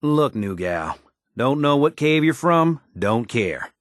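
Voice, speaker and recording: Folksy american tinkerer dude in a video game, wisecracking slang, really animated pitch, dry studio sound. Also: male voice, slow pace, no echo. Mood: blunt, exasperated. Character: folksy, wisecracking male tinkerer and scrappy settler ally. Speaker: video game character voice actor.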